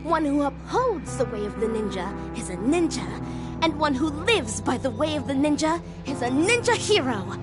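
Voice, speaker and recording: A woman's voice: high-pitched, sing-song, normal speed. A woman playing a solemn, earnest young ninja heroine in a video game, speaking in formal, grandiose declarations over music. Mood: solemn, declarative. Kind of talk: dramatic video game voice acting. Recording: music behind the voice.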